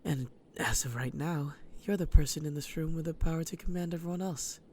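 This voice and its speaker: An actor playing a sly serial killer villain in an audio drama, taunting and theatrical, with a breathy voice that often drops to a whisper. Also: mid-range voice, mellow, a little hiss or hum, natural voice. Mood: smug, taunting. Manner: taunting, theatrical, slow and menacing. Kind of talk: audio roleplay drama acting.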